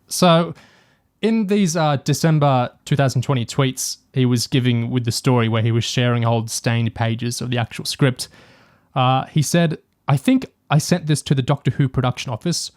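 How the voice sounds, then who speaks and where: Male podcast co-host, medium pitch, conversational and discursive, detail-minded. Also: normal speed, a little mellow. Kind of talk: casual discussion and review, reading out quotes.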